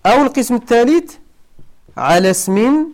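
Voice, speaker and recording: A man's voice: very high-pitched, animated, moderate pace, a little mellow. A man with a Moroccan accent teaching grammar to a class, asking students questions and repeating each point for emphasis. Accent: Moroccan Arabic. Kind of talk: classroom lesson.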